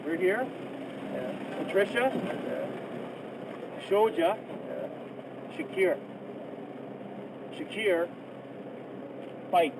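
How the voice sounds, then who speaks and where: High-voiced man explaining in simple phrases. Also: distant mic, noisy background. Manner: slow, broken simple phrasing.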